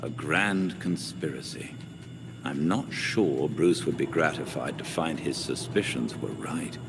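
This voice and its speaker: An actor playing a refined, measured older butler and gentle mentor in a video game cutscene. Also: male voice, a bass voice, gravelly, over music, exaggerated voice. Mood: grave, reflective. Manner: formal, measured.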